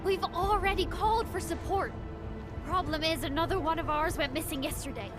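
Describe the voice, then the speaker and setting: A woman's voice: very high voice, fairly quick, over music. A woman playing an earnest, concerned patrol crew member in a video game. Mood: worried.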